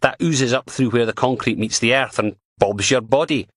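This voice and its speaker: Guy doing a brash mouthy lady cop with the dog, pitched way up, loud and scoffing. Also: moderate pace, no room sound, close to an everyday voice. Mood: blunt, scoffing. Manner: crude, scornful, loud. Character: blunt foul-mouthed female police dog handler. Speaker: police dog handler.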